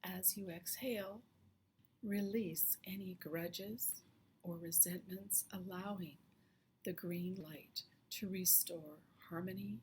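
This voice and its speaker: A woman leading a slow guided meditation in a soft, hushed, soothing voice that often trails into whispery breath. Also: lowish voice, fairly even intonation, a little hiss or hum. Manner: slow, soothing, with long pauses.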